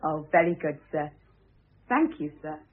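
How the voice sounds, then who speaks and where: A polite, deferential housemaid in a vintage radio drama. Her pitch is lively and the recording is narrow and muffled like an old broadcast. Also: unhurried, heavy background noise. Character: deferential, obliging household maid. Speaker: maid.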